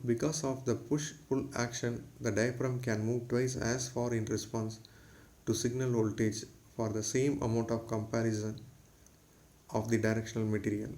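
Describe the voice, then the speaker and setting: A man's voice: mid-range voice, mellow. A man giving a formal, scripted lecture, read aloud in a textbook-like way.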